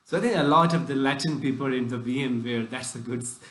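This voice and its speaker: A man's voice: mid-range voice, quick pace, a bit bright, echoey room, a little hiss or hum. A man hosting a tech podcast who asks loose, rambling questions with broken phrasing and frequent restarts. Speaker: podcast host. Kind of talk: casual interview questions.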